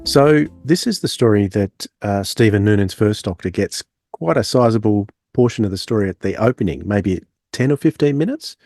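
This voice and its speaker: A man's voice: lowish voice, lively intonation, moderate pace, few pauses, darkish tone, no room sound, studio quality, soft background music. A man hosting a podcast, presenting and discussing a review. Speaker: podcast host.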